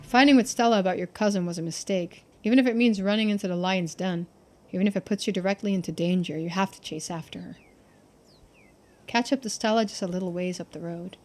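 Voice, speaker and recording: A woman's voice: mid-range voice, fast, darkish tone. A woman streaming a game, informally thinking aloud and reading the narration. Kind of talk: casual game commentary and read-aloud narration.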